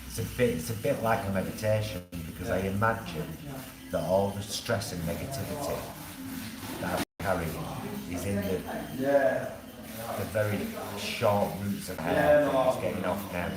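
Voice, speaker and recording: A man's voice: sits low, measured pace. A man chatting casually on a vlog. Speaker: vlogger getting a haircut. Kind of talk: casual chat. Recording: echoey room, clear background noise.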